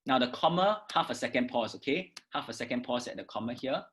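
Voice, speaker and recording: A Singaporean teacher giving a reading-aloud lesson, enunciating words deliberately and emphatically and often checking in with the listener. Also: mid-range voice, animated, fairly bright tone, slight background noise. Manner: instructive, often checking with "okay" and "right". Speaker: English oral exam teacher. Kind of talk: instructional lesson with read-aloud demonstrations.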